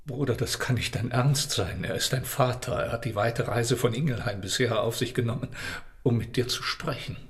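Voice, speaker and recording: A man's voice: medium pitch, moderate pace, lightly acted. An actor in a radio drama playing an earnest, concerned monk who admonishes his brother. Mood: concerned, firm. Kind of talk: German radio drama acting.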